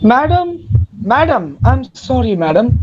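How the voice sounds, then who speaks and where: Performer acting slow, tender young Shakespearean gentleman. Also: mid-range voice, big pitch swings, breathy edge. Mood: apologetic. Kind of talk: dramatic reading of Shakespeare. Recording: dull lo-fi audio.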